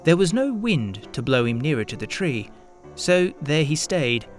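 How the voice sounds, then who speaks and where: A man narrating a scripted children's story in an expressive, measured storytelling manner. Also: fairly high pitch, soft background music.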